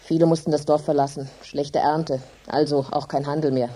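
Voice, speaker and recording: A woman's voice: low-pitched, fairly even intonation, normal speed. An actress playing a grief-stricken, embittered poor farm widow, telling her story intensely and dramatically in a radio play. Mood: sorrowful, bitter. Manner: intense, dramatic, emphatic storytelling with hushed drops. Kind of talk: German radio drama monologue.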